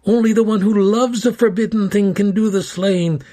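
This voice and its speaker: A man's voice: high voice, fairly even intonation, normal speed, dark tone, voice pushed up, close to an everyday voice. A man voicing an old caretaker in a storybook reading, speaking in a solemn, firm and urging way.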